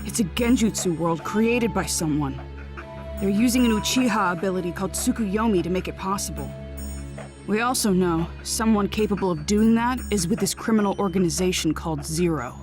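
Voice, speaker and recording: A woman's voice: medium pitch, a little fast, natural voice. A woman voicing an earnest, determined young ninja boy in an anime game dub, serious and urgent. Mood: grave. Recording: background music.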